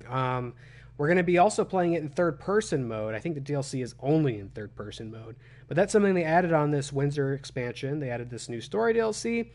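A young man with a cold, his voice raspy and phlegmy, chatting casually as a gaming streamer from a small room at home. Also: fairly high pitch. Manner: chatty, self-deprecating. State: hoarse from a cold.

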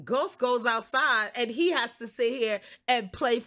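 A young woman telling a story casually on a livestream, expressive, slangy and sweary. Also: a little high, a little slow. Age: young adult. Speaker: game streamer.

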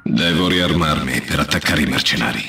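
An actor playing a grim, gravelly action soldier in a video game dub. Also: male voice, extremely low pitch, normal speed, echoey room, over music.

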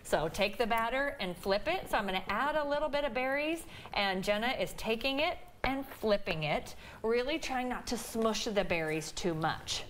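A woman's voice: fairly high pitch, expressive, normal speed, hardly any pauses, a bit bright, slight background noise. Chatty Midwestern woman demonstrating a recipe. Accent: Midwestern American. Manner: chatty, explanatory, many "um"s. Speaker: dietitian guest. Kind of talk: cooking demonstration on morning TV. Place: home kitchen TV set.

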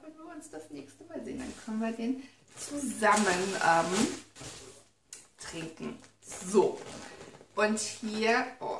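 Young woman vlogger, excitable and chatty, some vocal fry, recorded from a few feet away in a roomy living room. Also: medium pitch. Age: young adult. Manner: chatty, excitable, reads notes aloud. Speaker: beauty vlogger. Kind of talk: casual unboxing chat. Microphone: camera mic from a few feet away. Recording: roomy, distant camera sound with faint noise.

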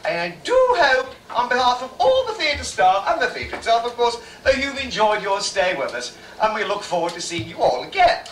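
A performer putting on a grand, bawdy pantomime hostess, addressing a live audience in an arch, drawn-out and saucy way. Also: mid-range voice, very animated, a bit bright. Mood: arch welcome. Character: grand, bawdy theatrical hostess in a cabaret spoof. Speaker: master of ceremonies introducing the cast. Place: theatre bar stage before a live audience. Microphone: distant camera microphone. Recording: echoey noisy bar, distant mic, rowdy crowd.

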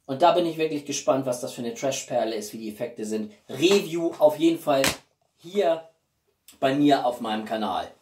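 A man in his forties chatting casually to viewers as a video host, with an expressive, emphatic delivery and dramatic pauses. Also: medium pitch. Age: 40s. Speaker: YouTube host. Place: small living room indoors. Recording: roomy echo, distant mic.

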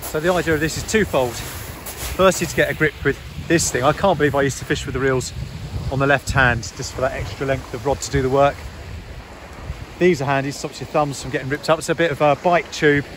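A man's voice: medium pitch, fairly even intonation, a little fast. A man, talking casually and instructively as a fishing vlogger. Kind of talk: casual instructional vlog talk. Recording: clear background ambient noise.

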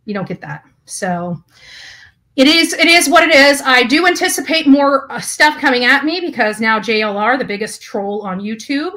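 A woman in her forties with a bright, forceful voice, talking a little high. She vents casually to the camera in a small room, stopping and starting, indignant and leaning on repeated phrases. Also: lively intonation. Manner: halting, indignant, emphatic repetition. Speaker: YouTuber. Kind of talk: casual personal vlog rant. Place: small hotel or bedroom, indoors.

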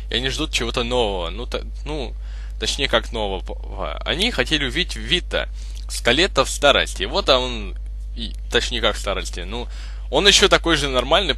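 A young man hosting a gaming video with casual, halting, improvised gameplay commentary. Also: mid-range voice, pushed and bright. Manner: hesitant, halting, improvised phrasing.

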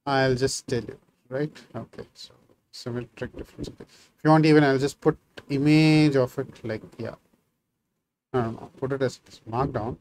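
A man's voice: mid-range voice, fairly even intonation, normal speed, darkish tone, slight background noise, rough recording. A man in his thirties whose first language is Tamil, giving a casual screen-share coding tutorial from a small room and thinking aloud with many fillers. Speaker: programming tutor.

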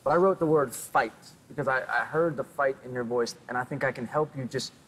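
A man's voice: mid-range voice, brisk, a bit bright, slightly rough, rough recording. A man coaching a young singer, explaining posture and voice in an earnest, encouraging way. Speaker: singing show coach. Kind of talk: vocal coaching a contestant. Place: large TV studio with an audience.

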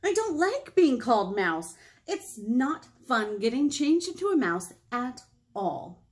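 A woman voicing an indignant little girl from a storybook, exclaiming her lines in an emphatic, drawn-out way. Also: fairly high pitch, sing-song. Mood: put out. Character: indignant little girl nicknamed Mouse, storybook narrator-heroine.

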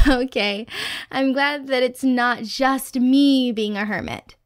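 A woman's voice: sits high, slow pace, smooth, no echo, clean studio sound. A woman playing a dorky, affectionate young girlfriend in a casual ASMR roleplay, chatty and teasing as she rambles. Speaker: roleplay voice actor.

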